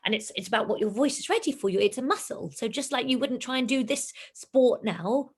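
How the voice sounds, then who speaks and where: A woman, a singing teacher, talking in a relaxed interview and acting out her pupils' lines. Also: on the high side, brisk, slightly rough. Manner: animated, acts out pupils in quoted voices. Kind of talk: casual interview chat.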